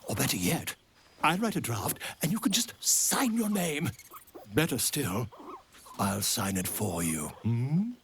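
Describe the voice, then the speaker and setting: An actor playing a flamboyant, self-promoting writer in a video game, theatrical, chummy and wheedling. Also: male voice, mid-range voice, sing-song, unhurried, fairly bright tone, a little hiss or hum. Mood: sly, chummy. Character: flamboyant, self-promoting, slyly charming writer and old friend. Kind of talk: video game character acting.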